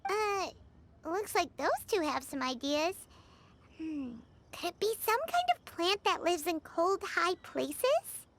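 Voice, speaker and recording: A woman voicing a tiny, excitable, childlike fairy companion in a video game, with a squeaky voice. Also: slow pace, a little hiss or hum, heavily acted. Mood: musing. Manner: speaks of self in third person. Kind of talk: animated video game character acting.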